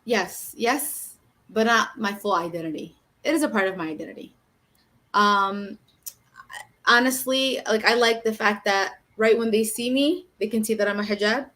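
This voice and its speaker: A woman's voice: medium pitch, moderate pace, fairly bright tone. A woman from Michigan co-hosting a podcast, chatting casually and telling stories with emphasis. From Michigan, United States.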